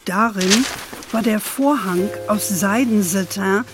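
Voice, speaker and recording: Elderly storytelling grandmother female actor, spirited and fond, slow measured delivery, children's radio drama with music bed. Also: mid-range voice, close to an everyday voice. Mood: measured narrating.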